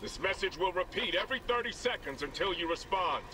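Male ship commander, formal, official radio broadcast, higher voice, distant, clear static noise. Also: lively intonation, fairly quick. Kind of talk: formal radio announcement, game acting. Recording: distant noisy radio transmission.

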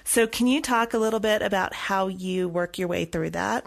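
A woman's voice: mid-range voice, moderate pace, gravelly. A woman hosting a podcast, talking casually and conversationally as she interviews a guest.

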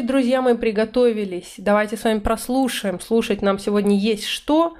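A woman in her thirties teaching English vocabulary in an online lesson, explaining in Russian and reading English phrases slowly and clearly. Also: fairly high pitch, a little mellow. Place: indoor room, webcam in corner of slides.

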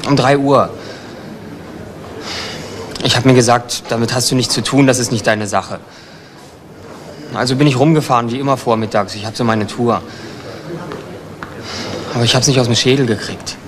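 An actor playing a young homeless petty crook, defensive and evasive, halting and repeating himself in a crime radio play. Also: male voice, mid-range voice, natural voice. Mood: earnest, uneasy. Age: young adult. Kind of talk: German radio crime drama acting. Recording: noisy retro radio drama recording.

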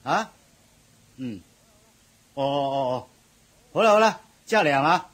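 An actor playing a loud, bossy middle-aged supermarket manager with a husky voice in a radio drama. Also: male voice, medium pitch, animated, measured pace. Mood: officious. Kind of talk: 1980s radio drama acting.

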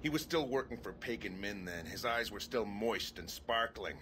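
An actor playing a glib, cynical middle-aged American spy handler in a video game, with a folksy, wisecracking manner. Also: male voice, on the low side, expressive, moderate pace, close to an everyday voice. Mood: smug, teasing. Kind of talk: video game cutscene acting. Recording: engine and vehicle noise behind the voice.

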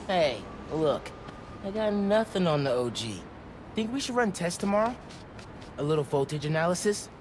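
An actor playing an earnest, unsure teenage superhero with an American accent, eager and modest, in a video game cutscene. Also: male voice, high-pitched, lively intonation, normal speed, background noise. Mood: modest, anxious.

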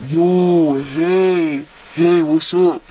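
A man's voice: fairly high pitch, fairly even intonation, very slow, dark tone, husky, exaggerated voice, low-quality recording. A man playing a laid-back purgatory leader trying to sound cool, slangy and hesitant, in an amateur cartoon. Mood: friendly, awkward. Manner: slangy, bro-heavy, hesitant.

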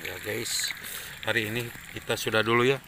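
A man's voice: fairly low pitch, normal speed. A man chatting and joking while fishing for eels outdoors, recorded on a handheld camera. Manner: joking, excited. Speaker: fishing vlogger. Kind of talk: casual outdoor chat. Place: outdoors by rice paddy ditch. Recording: outdoor ambience, faint noise.

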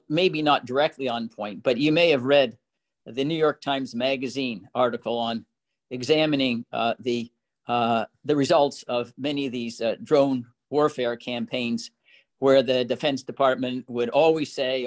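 Measured older man on a video call. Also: medium pitch. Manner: measured, deliberate, with many "uh" fillers. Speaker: panelist. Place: small room at home. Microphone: laptop webcam on a video call.

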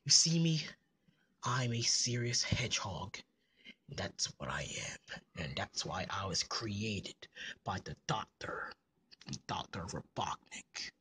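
A performer voicing a gruff, self-serious male hedgehog, sullen and curt, in a homemade character skit. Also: deep voice, slow pace, heavily acted. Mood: brooding. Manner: deadpan, curt, sullen, sometimes hushed. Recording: noticeable background noise.

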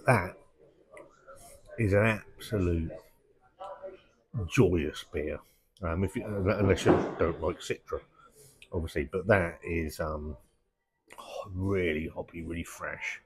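Low-voiced man chatting in a pub. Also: slow, long pauses, a little hiss or hum. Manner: chatty, enthusiastic, lots of ums and restarts. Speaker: beer reviewer. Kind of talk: casual vlog review. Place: indoor pub, seated at table.

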